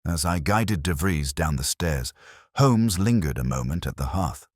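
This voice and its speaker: Very deep-voiced male story narrator. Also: expressive, a little slow, dry recording, studio quality. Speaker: audiobook narrator. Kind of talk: scripted narration of a Victorian detective story.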